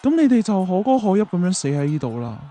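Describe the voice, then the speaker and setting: A man's voice: high voice, very animated, fast talker, dark tone. A man playing a smug, mocking villain king in a Chinese radio drama. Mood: gloating, mocking. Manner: mocking, theatrical.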